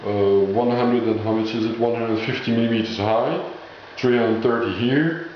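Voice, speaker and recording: Guy with a lowish voice explaining a product, searches for words, super echoey room, muffled sound. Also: normal speed. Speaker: product presenter explaining label machinery. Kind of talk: informal product demonstration. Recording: echoey dull room recording, faint noise.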